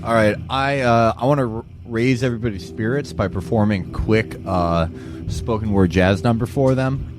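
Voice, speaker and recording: A man's voice: lowish voice, normal speed, over music. A man playing a tabletop game with brash, joking, casual improvisation.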